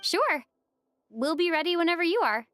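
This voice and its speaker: A woman voicing a polite, earnest young traveler heroine in a video game, gentle and sincere. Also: extremely high pitch, big pitch swings, normal speed, faint music behind, exaggerated voice. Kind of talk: video game character acting.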